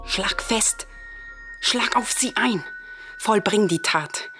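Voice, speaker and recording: A woman's voice: mid-range voice, takes it slowly, a bit bright, faint music behind. An actress playing a fervent, vengeful daughter of a murdered king in a classical radio tragedy, solemn and declamatory.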